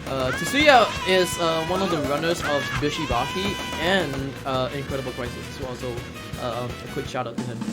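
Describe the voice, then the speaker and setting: A man's voice: mid-range voice, moderate pace, hardly any pauses. A man giving casual live commentary as a speedrunner, chatty and repeating himself as he goes. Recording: loud game music behind. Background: video game music.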